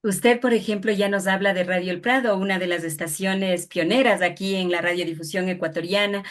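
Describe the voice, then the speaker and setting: Ecuadorian lady host doing a polite formal interview, medium pitch. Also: steady tone, brisk. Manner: formal, courteous phrasing. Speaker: radio programme host and interviewer.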